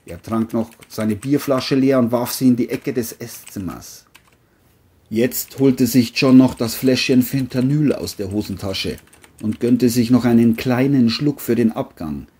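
A woman's voice: extremely low pitch, unhurried, dark tone, breathy edge. A woman reading scripted narration for a crime audio story.

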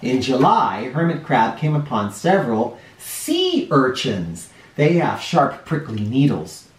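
A man in his forties reading a picture book aloud to children in an expressive, explanatory way. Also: mid-range voice, slow. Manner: animated, explanatory, addressing young listeners. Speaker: children's storytime reader. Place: living room or playroom indoors. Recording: roomy home sound, distant mic.

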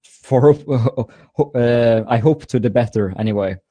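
A man's voice: medium pitch, slow, dark tone, slightly rough, dry recording. A man in his twenties from Norway, a guest answering interview questions eagerly, often repeating words and laughing. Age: 20s. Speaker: guest YouTuber. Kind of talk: casual interview.

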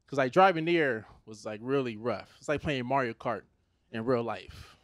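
A man from Los Angeles in his thirties with an American accent, performing a stand-up comedy set on a theater stage into a handheld mic, animated and conversational. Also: medium pitch, moderate pace, dry recording. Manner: animated, conversational, switches into put-on Nigerian voices for his parents.

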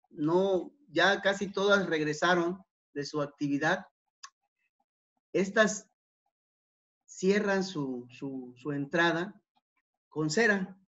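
A man giving an academic talk over slides, unhurried, with many fillers and repeated words. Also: high voice. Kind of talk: conference presentation over slides. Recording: dull, lo-fi online talk.

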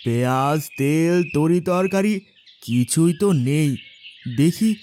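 A man's voice: on the high side, slow pace, a little mellow, slight background noise. A man playing a poor, honest, devout labourer father in a cartoon moral story. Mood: worried.

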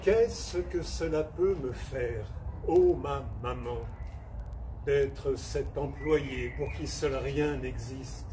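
A man reciting poetry in a measured, declamatory manner, with long pauses between phrases. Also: medium pitch. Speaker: poetry reader. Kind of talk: slow solemn poetry recitation. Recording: distant mic, clear background noise.

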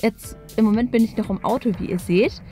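A woman's voice: fairly high pitch, brisk, dark tone. A young woman talking casually to the camera as a vlog host. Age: young adult. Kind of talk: casual vlog talk to camera, prank challenge. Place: parked car, small tiled toilet, small studio room. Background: background music.

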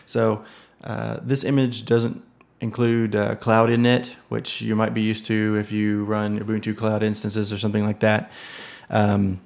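A man giving a relaxed screen-recorded software tutorial, thinking aloud with many ums and uhs. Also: lowish voice, a little slow, vocal fry, few pauses. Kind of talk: casual screen-recorded tech walkthrough.

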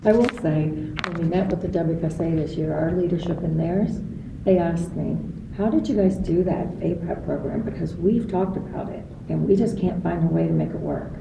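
A woman speaking earnestly as an interview guest, stressing points in steady lists. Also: lowish voice, normal speed, vocal fry. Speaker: guest, association president. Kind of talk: interview talk. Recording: echoey distant mic with background noise.

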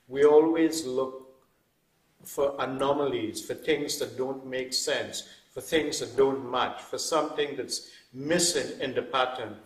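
Man, 50s, reflective guest, hedges and restarts, roomy distant mic. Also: medium pitch. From Trinidad. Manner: thoughtful, hedging with many "sort of" restarts. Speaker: guest writer. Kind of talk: reflective interview talk. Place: wood-panelled indoor room.

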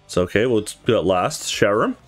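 A man's voice: lowish voice, expressive, a little fast, soft background music. A man giving casual let's play commentary, thinking aloud with some mild swearing. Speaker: gaming video host.

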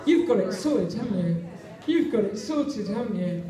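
A woman's voice: medium pitch, animated, normal speed, distant mic. A woman with an English accent calling steps at a barn dance, in a chatty, sing-song way that keeps breaking into laughter. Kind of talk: calling dance moves over live music. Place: large community hall with dancers. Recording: echoey hall over loud band and crowd.

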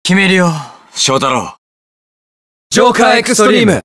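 A man's voice: high voice, moderate pace, no room sound, clean studio sound. A young man playing a cool, inquisitive detective superhero in a video game, with precise, teasing delivery. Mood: shouting attack calls. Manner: calm, precise, smug and curious delivery. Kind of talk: Japanese video game voice acting, short lines.

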